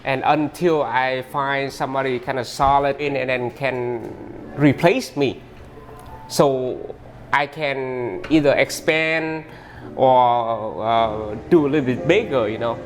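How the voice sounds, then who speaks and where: A man who owns a pho restaurant, talking in an interview in halting English with many restarts. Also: mid-range voice. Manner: halting, restarts often, non-native English phrasing.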